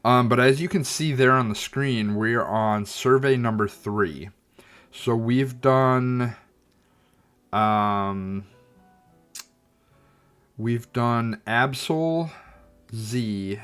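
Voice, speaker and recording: A man's voice: medium pitch, fairly bright tone. A man streaming a game and chatting about it, thoughtful and hesitant, with a slightly stuffy, nasal sound. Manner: thoughtful, hesitant, many ums and restarts. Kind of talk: casual gameplay commentary.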